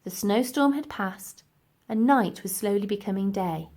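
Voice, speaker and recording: A woman's voice: medium pitch, unhurried, darkish tone. A woman narrating a children's storybook expressively as its reader.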